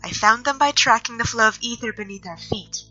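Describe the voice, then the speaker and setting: Actress as guarded elf nature mage, audio drama; earnest, measured wording, fairly high and piercingly bright voice over music. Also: female voice. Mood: doubtful. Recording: slightly dull audio, music underneath.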